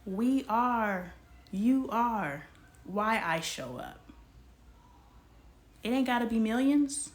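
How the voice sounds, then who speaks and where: A woman in her forties hosting a casual livestream, talking straight to her viewers in an animated, slangy way. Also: on the high side, takes it slowly, pauses often. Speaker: livestream host.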